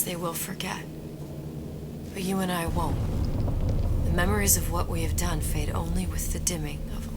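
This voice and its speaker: An actress playing a solemn, remorseful woman scientist, speaking in a measured, hushed way for a game's audio diary. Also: on the low side, natural voice. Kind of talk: video game audio diary.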